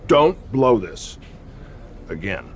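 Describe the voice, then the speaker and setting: A man's voice: fairly low pitch, wildly swooping pitch, slow, a little mellow, slight background noise, heavily acted. A man playing a scornful, commanding veteran wrestler who leads a faction in a video game. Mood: stern. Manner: commanding, cutting. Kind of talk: video game cutscene acting.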